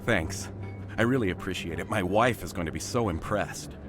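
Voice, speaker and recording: A man's voice: low voice, normal speed, music in the background, lightly acted. A man playing a starstruck, awkward fan in a video game, gushing and nervously hesitant. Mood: nervous, grateful. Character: starstruck, awkward male fan of a war hero. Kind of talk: video game character acting. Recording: clear background ambient noise.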